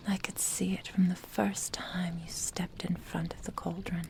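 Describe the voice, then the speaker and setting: A woman playing a doting, possessive immortal witch in an ASMR roleplay, murmuring tenderly and intimately in a breathy whisper to her apprentice. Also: fairly low pitch, little pitch movement. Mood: adoring. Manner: slow, tender, intimate delivery.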